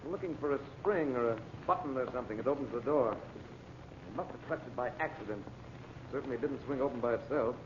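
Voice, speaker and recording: Actor as a confident radio-serial adventurer, tinny recording. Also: male voice, mid-range voice, brisk, slight background noise, close to an everyday voice. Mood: patient, reasoning. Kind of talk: old-time radio serial acting.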